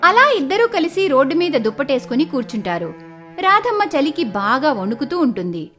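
A woman's voice: high-pitched, sing-song, fairly quick, fairly bright tone, soft background music. A woman narrating a scripted moral story as its storyteller.

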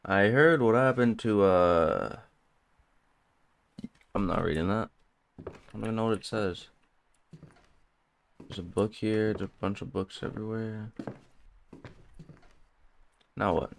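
Jumpy guy playing a horror game, low gravelly voice, lil bit of hiss behind him. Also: slow, long pauses. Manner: jumpy, nervous, talks to himself. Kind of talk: casual let's play commentary.

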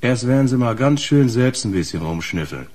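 An actor playing a sheepish, defensive male trucker informant with a husky voice and blunt colloquial talk in a radio crime drama. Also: medium pitch, animated, brisk, darkish tone, close to an everyday voice.

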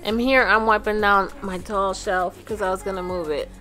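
A woman's voice: medium pitch, fairly even intonation, normal speed, music in the background. A woman giving a casual, chatty vlog voice-over, talking informally and directly to her viewers. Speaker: family vlogger, host. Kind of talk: casual voice-over narration of cleaning.

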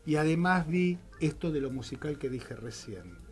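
Hombre argentino reflexivo, con muletillas. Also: male voice, fairly high pitch, sing-song, moderate pace. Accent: Argentine Spanish. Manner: reflective, hesitant, many fillers. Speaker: playwright and director, guest. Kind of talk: radio interview. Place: small radio studio. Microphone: studio microphone with headphones.

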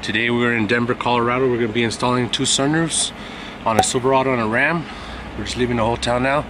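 A man's voice: mid-range voice, steady tone, fast. A middle-aged man chatting casually to his vlog audience outdoors, recorded on a phone. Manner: laid-back, informal. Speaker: vlogger, car customizer. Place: outdoors, in or near a vehicle. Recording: loud wind noise from vehicle outdoors. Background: wind and traffic.

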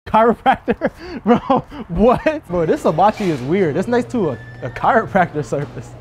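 A young man bantering casually with friends in an animated, joking, slangy way. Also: high-pitched, moderate pace. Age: young adult. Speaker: prank vlogger. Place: busy restaurant and storefront at night. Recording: loud restaurant chatter and music behind.

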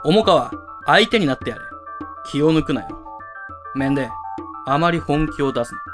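A man acting an eccentric, theatrical high school club president in an amateur voice drama, with pompous, dramatic pauses. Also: animated, close to an everyday voice. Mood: ominous, grandiose. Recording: music under the voice.

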